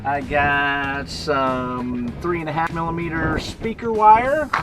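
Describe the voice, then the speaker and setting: A middle-aged American man narrating a hands-on vlog in a casual, unhurried way, thinking aloud as he goes. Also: on the high side, loud music behind the voice. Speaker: vlogger showing a vehicle radio installation.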